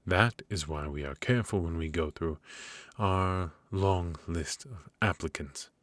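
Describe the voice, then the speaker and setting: A man's voice: low-pitched, no room sound, exaggerated voice. A man voicing a cold, stern royal magic professor. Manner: measured, stern, lecturing. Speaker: voice actor in ASMR roleplay. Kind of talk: ASMR roleplay.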